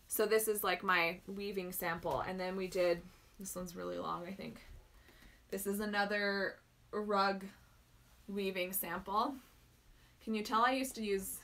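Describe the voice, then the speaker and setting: Young Albertan woman, bright voice, loose chatty livestream host answering questions at home. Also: mid-range voice. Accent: Albertan Canadian. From northern Alberta, Canada. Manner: chatty, rambling, answers viewer questions, laughs often. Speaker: maker hosting a livestream. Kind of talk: casual livestream chat.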